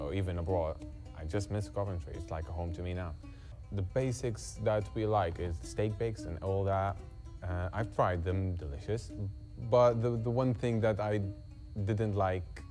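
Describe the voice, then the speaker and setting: A man from Iraq with an Iraqi accent, chatting casually in an interview with plenty of "like" in his speech. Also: deep voice. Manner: chatty, uses "like" often. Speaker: restaurant worker. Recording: music playing behind the voice. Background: plucked guitar music.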